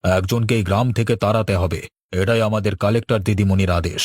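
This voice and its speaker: A man's voice: lowish voice, fairly even intonation, a little fast, dry recording, studio quality, lightly acted. A man voicing a scheming village strongman in a cartoon, conspiratorial and commanding. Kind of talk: cartoon dialogue.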